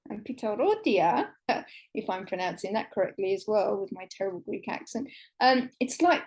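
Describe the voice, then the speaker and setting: A woman's voice: mid-range voice, expressive, moderate pace, darkish tone, poor audio. A woman, a travel writer chatting enthusiastically as an interview guest, with many fillers. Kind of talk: conversational podcast interview.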